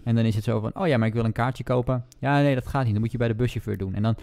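Man, casual book podcast co-host, mellow low voice, quick delivery. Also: fairly even intonation. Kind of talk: casual book discussion, retelling the story.

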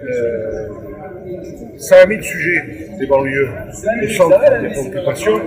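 A man in his sixties, a mayor, making an earnest, halting statement to camera at a busy indoor trade fair. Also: sits high, expressive. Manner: earnest, hesitant with many fillers. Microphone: camera close up. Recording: distant mic, loud crowd behind.

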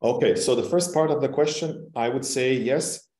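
A middle-aged man who is not a native English speaker, explaining technical things informally and haltingly on a video call. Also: mid-range voice, darkish tone. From non-English-speaking country, long resident in Canada. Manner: hesitant, halting, searching for words. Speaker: webinar presenter, technical expert. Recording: roomy, echoing webcam call.

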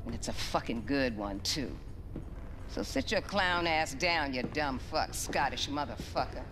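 An actress playing a tough, commanding American resistance leader in a video game, brash, slangy and profane. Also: female voice, very deep voice, lively intonation, unhurried, long reverb tail, music in the background. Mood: combative. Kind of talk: video game cutscene acting.

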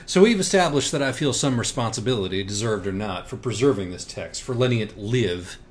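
An anguished, evasive young writer played by a man argues in a lowish voice, wordy and sardonic. It is audiobook dialogue with clear background noise in the room. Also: lively intonation, brisk, close to an everyday voice. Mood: brooding. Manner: wordy, sardonic, circling self-questioning. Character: anguished, evasive, self-absorbed young male writer character.

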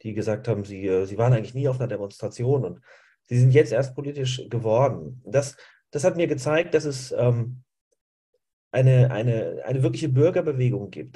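Middle aged man on a webcam call, husky mellow voice, earnest, lots of ahs. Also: mid-range voice, normal speed. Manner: earnest, with frequent fillers. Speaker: activist guest. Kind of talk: video call discussion. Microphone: laptop or webcam mic on a video call.

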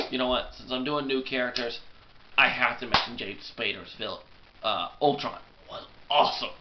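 A young man, rambling excitedly through a casual movie review from his bedroom while fighting a cold. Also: medium pitch, highs cut off, background noise. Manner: excitable, rambling, self-deprecating. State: congested with a cold. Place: small bedroom at night.

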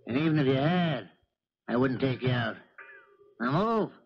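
An actor playing a gruff, folksy old charter boat captain in a 1970s radio drama. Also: male voice, mid-range voice, animated, normal speed. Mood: irritated. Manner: folksy, blunt, suspicious. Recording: dull 1970s radio recording, faint noise.

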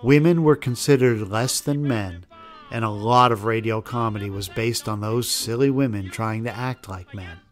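A man's voice: lowish voice, normal speed, soft background music. A man giving scripted documentary narration.

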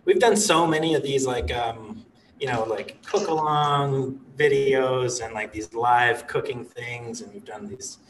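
A man in his thirties, an executive chef, speaking in a relaxed, rambling way on a video call from his living room. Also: medium pitch, unhurried, far from the mic, a little hiss or hum, rough recording. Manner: relaxed, rambling, many "you know" and "like" fillers. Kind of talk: casual interview over video call. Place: living room with a stone fireplace.

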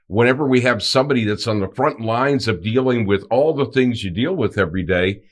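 A man's voice: medium pitch, a little fast. A middle-aged man hosting a studio podcast interview, enthusiastic and prone to restarting words. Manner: warm, enthusiastic, repeats words as he starts. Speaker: podcast host. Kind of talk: casual interview. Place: podcast studio with branded backdrop. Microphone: desk podcast mic on a stand.

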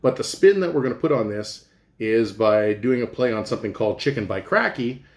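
A man's voice: mid-range voice, animated, a little fast. A middle-aged man, a chef giving an informal, folksy cooking demonstration and explaining as he goes. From Canada. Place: small indoor room with hard walls. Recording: echoey room.

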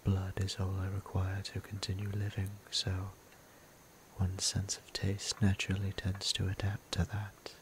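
A man voicing a gentle, courtly vampire priest in an ASMR roleplay, breathy and half-whispered, with formal, tender, old-fashioned phrasing. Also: sits low, completely flat delivery, unhurried, poor audio. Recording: clear background noise and ambience.

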